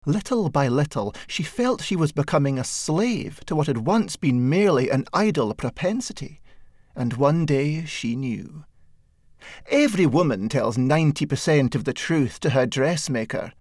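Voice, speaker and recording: A man reads a satirical short story as an audiobook narrator. His voice sits a little high and moves expressively up and down. The delivery is measured and wry, recorded dry and close. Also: expressive, darkish tone, no room sound.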